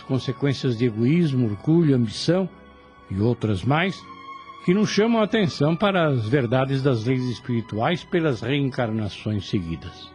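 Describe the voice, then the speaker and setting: A man's voice: medium pitch, darkish tone. A man narrating a radio drama from a script in a solemn, moralizing manner. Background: music.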